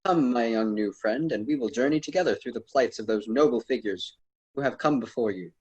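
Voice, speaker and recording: Guy doing a lofty old-world poet guide, bit husky, formal and stagey, radio drama. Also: medium pitch, a little fast, a little mellow, lo-fi sound, natural voice. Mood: amused, admonishing. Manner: formal, theatrical.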